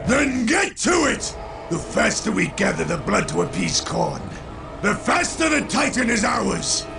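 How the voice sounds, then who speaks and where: A man playing a raging, bloodthirsty Chaos warlord in a video game, gravelly and domineering as he barks commands. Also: high voice, very animated, unhurried, music in the background, exaggerated voice. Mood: impatient command. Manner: shouting, domineering. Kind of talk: video game cutscene voice acting.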